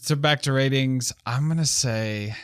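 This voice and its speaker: A man's voice: mid-range voice, a little slow, fairly bright tone, smooth, dry recording, studio quality. A young man hosting a casual film review chat in a laid-back, slangy, rambling way. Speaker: film review show host.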